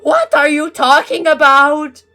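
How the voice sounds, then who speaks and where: A woman voicing a smug, deranged supervillain man with a drawn-out, mock-grand delivery. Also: sits high, wildly swooping pitch, soft background music, voice pushed up, full character voice. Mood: strained.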